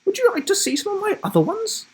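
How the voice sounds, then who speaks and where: Guy doing a naughty old grandma for a kids story, high voice, cheeky and bold. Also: huge pitch swings, full character voice. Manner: firm, playfully rude, brisk. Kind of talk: children's storybook character voice.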